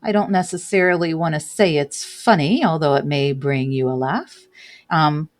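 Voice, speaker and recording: A woman with a slightly low voice hosts a casual commentary show. She reads news aloud in a relaxed, conversational way. She checks in with listeners through quick tag questions and slips in light laughs. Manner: chatty, adds tag questions like "right?" and "okay?"; laughs lightly between remarks.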